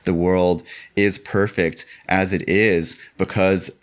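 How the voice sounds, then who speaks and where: A man's voice: sits low, level tone, slow pace. A man talking as a podcast guest in a casual, rambling, philosophical way, often dropping in "like" and "you know". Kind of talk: casual conversational musing.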